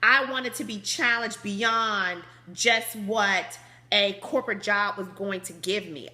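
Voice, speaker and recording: A woman in her thirties with a high, slightly squeaky voice, hosting a business conference call in an upbeat, chatty way over a phone line. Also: bright, forceful tone. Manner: bubbly, laughs often, chatty. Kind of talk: upbeat motivational call talk.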